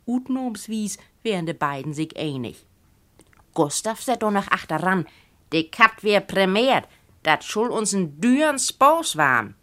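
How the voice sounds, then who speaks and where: Woman, northern German Low German accent, slightly deep voice, swooping melody, expressive literary reading. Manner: animated, acts out characters' speech. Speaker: storyteller.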